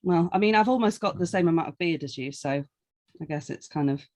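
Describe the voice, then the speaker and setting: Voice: mid-range voice, a little fast. A co-host in a casual podcast chat, trading jokey banter and reading out listener questions.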